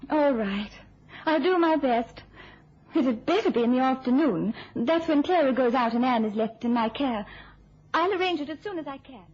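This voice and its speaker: A conscientious young nurse in a vintage radio drama. Her voice is high and very expressive, earnest and a little hesitant. The old recording sounds dull. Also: normal speed, breathy edge. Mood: reluctant. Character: conscientious, uneasy young nurse, devoted girlfriend.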